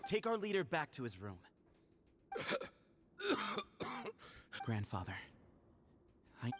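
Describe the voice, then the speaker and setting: An easygoing young heir in a fantasy game. His medium-pitched voice is measured and conversational, with soft music underneath. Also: very animated. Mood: concerned. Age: young adult. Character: young pragmatic male heir, easygoing, self-possessed. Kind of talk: video game voice acting.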